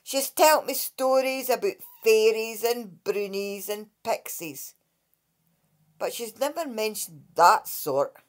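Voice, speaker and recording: A nearly teenage girl with a Scottish accent asks blunt, curious questions, as a woman storyteller voices her. The voice is fairly high and the delivery slow. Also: expressive.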